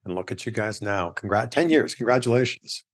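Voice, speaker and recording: A man's voice: on the low side, expressive, brisk. A man hosting an interview in a conversational style, putting questions and remarks to his guest. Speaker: interview host.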